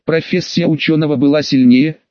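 A man's voice: fairly high pitch, fairly even intonation, brisk, darkish tone, no echo, muffled recording. A man reading first-person narration from a sci-fi adventure audiobook.

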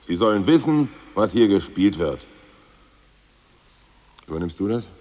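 A man's voice: fairly low pitch, expressive, measured pace, a little mellow, in a large hall. An actor playing an ousted male Politburo member, blunt and stubborn, in a 1980s radio play. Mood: brisk.

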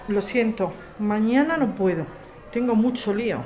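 A woman's voice: medium pitch, animated, moderate pace, warm tone, a faint crowd, lightly acted. A woman with an accent from Spain acting a tired, secretive, evasive character in radio soap dialogue. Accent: Spanish.

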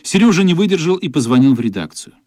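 A man's voice: fairly high pitch, big pitch swings, fast, a little mellow, dry recording. A man reading scripted narration for a radio play. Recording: dry studio, music behind.

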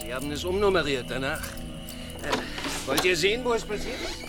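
Ein Schauspieler spielt in einem Hörspiel einen schmierigen, großspurigen, jovialen Lebemann mit anzüglich gedehnter Art. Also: male voice, fairly high pitch, big pitch swings, normal speed, loud music behind the voice. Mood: gleeful, creepy. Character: sleazy, boastful, jovial party man. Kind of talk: radio drama acting.

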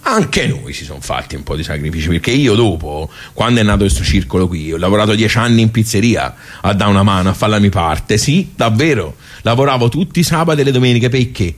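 Grumbling old Tuscan man, low-pitched, colloquial and rambling, nostalgic club regular for a radio monologue. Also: animated. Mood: wry reminiscing. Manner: colloquial, rambling, halting word searches, rhetorical asides. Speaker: stage actor. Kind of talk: theatrical radio soliloquy, conversational.

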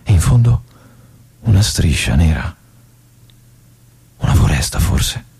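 An actor playing a brooding male army lieutenant in a theatrical monologue, with an intense, hushed delivery and phrases that drop to a whisper. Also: deep voice, sing-song, takes it slowly, creaky, slightly rough, full character voice. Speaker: stage actor.

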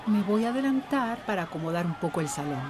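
An actress playing a guarded but tender activist in a radio drama, brisk and easily flustered. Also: female voice, mid-range voice, lively intonation, a crowd in the background, background noise, lightly acted.

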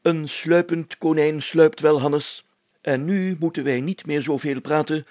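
A man's voice: a little high, steady tone, unhurried, darkish tone, no echo, pitched above the performer's own voice, natural voice. A man voicing a pompous, solemn, overcautious village mayor in a storybook reading, speaking in formal, stately phrases. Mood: pompous, grave.

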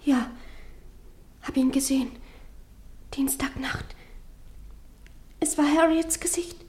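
Scared young daughter, high female voice, timid, hesitant, plaintive, radio play. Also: fairly even intonation, takes it slowly, darkish tone. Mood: hushed, timid. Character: timid, frightened young girl, cowed by her father. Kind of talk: German radio play acting.

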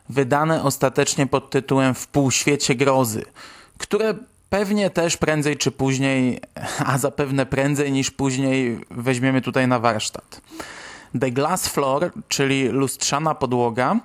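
A man hosting and reading scripted narration. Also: mid-range voice. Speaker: host.

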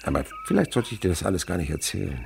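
A kindly older naturalist in a radio play. The voice is low and gravelly and swings very widely in pitch as it muses and tells stories gently. Crickets chirp faintly behind it. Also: male voice, big pitch swings, very fast, exaggerated voice. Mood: musing. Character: kindly older French naturalist, widower, indulgent with a boy. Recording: faint background noise, night insects chirping. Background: crickets and animal sounds.

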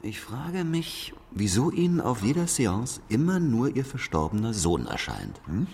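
A man's voice: medium pitch, wildly swooping pitch, measured pace, creaky, darkish tone, slightly rough, exaggerated voice. An actor playing a cold, mocking male interrogator in a German radio drama, sardonic and cutting. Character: cold, mocking, menacing male interrogator needling an old man. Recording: long reverb, faint background noise.

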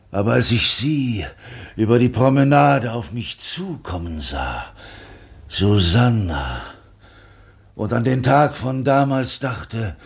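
An actor playing a world-weary, brooding sea captain in a literary radio play, halting and reflective. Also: male voice, fairly low pitch, full character voice. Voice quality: husky, gravelly.

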